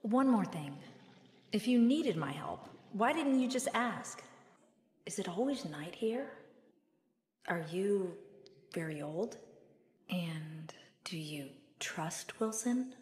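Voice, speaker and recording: A woman's voice: on the low side, expressive, slow, long pauses, a little mellow. Earnest young woman in an echoing hall. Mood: curious. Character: young woman trapped in an inky cartoon world, earnest, determined. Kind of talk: video game voice acting. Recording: echoey hall reverb.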